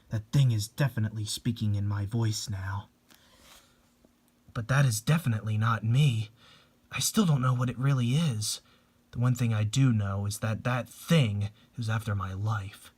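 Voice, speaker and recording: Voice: mid-range voice, a little mellow, breathy edge, voice pushed down, close to an everyday voice. A performer voicing a frightened teenage schoolgirl who narrates a horror manga, shaken and protective of friends. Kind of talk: dramatic reading of a horror manga.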